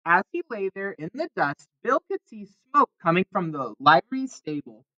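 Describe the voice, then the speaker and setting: A woman's voice: mid-range voice, sing-song, normal speed, pushed and bright, dull audio. A woman reading a children's storybook aloud expressively, with dramatic emphasis on key words. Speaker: storybook reader.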